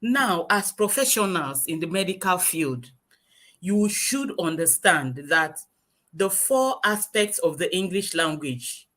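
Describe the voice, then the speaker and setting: A woman's voice: fairly low pitch, animated, takes it slowly, fairly bright tone. A woman, a guest English teacher lecturing earnestly in a webinar over a video call, repeating her points for emphasis.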